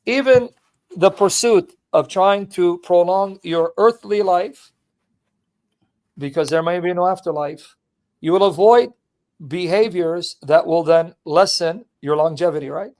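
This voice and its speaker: A man's voice: sits high, unhurried, a little mellow. A man hosting a debate, brash and mocking, firing questions at a caller. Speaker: host. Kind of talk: combative debate talk.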